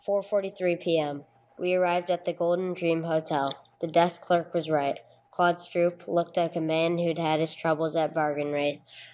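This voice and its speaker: Low-voiced, clipped police sergeant played by a woman. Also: little pitch movement, normal speed, a little mellow, natural voice. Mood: matter-of-fact. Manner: matter-of-fact, clipped. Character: terse, dogged police sergeant who narrates the case. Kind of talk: radio play acting with clipped narration.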